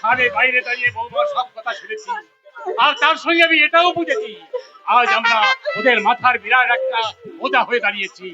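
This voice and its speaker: Melodrama role: a grieving, wronged elderly father, rhetorical lament in an extremely high register over loud music. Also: a little fast. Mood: bitter. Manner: declamatory, rhetorical, sorrowful. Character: heartbroken old father, wounded, bitter, lamenting. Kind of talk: melodramatic stage drama acting. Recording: dull lo-fi drama recording, loud music.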